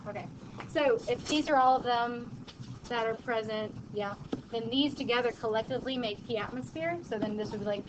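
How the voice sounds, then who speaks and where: A woman teaching a high school chemistry class in a casual, joking way, quizzing her students as she goes. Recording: muffled classroom recording, background noise.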